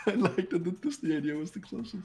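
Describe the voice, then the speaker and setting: A man's voice: high-pitched, animated, fast talker, a little mellow, faint music behind. A man chatting casually with friends during a game playthrough.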